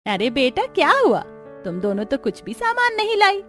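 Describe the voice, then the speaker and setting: A woman's voice: sits high, huge pitch swings, moderate pace, a bit bright, exaggerated voice. A woman playing a caring, practical mother-in-law in a cartoon family story, motherly and instructing. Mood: surprised. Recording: music bed behind the voice.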